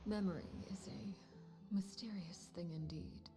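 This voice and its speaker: A woman voicing a cold, commanding head of a household called Father, speaking in a calm, measured way with hushed, grave whispers in a game cutscene. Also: medium pitch, lightly acted. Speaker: stern head of an orphan household. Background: game music score.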